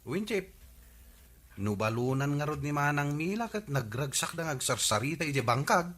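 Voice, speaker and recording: A man playing an excitable villager who eagerly reports on the neighbors in a radio comedy drama, with an Ilocano accent. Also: on the high side, moderate pace.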